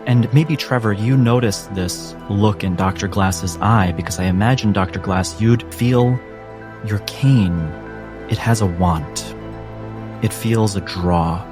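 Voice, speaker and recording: A low-voiced man runs a tabletop game as its narrator. He speaks slowly in the second person, measured and suspenseful, leaving long pauses, with music clearly playing behind him. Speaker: game master. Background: background music.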